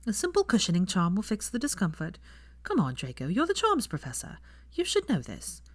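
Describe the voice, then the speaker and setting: A woman voicing a prim, quick-witted young witch who banters in pointed questions, in a story reading. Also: medium pitch, huge pitch swings, no room sound, voice pushed up. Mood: brisk, bantering.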